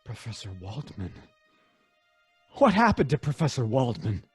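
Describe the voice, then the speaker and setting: An actor playing a scientist's worried, loyal friend in an old-time radio drama, with a husky voice and earnest, urgent delivery. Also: male voice, mid-range voice, big pitch swings, measured pace, faint music behind, heavily acted. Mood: tense questioning.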